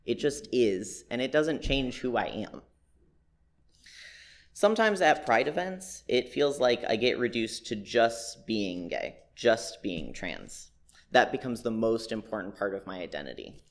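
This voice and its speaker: Young man, church testimony, plain-spoken, candid, average male pitch. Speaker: church member giving a personal reflection. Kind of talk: prepared personal testimony.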